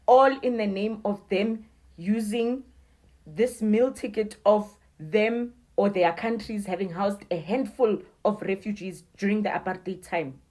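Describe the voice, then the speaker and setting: A woman's voice: mid-range voice, a little mellow. A South African woman giving an emphatic opinion monologue in short, declarative sentences. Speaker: commentator.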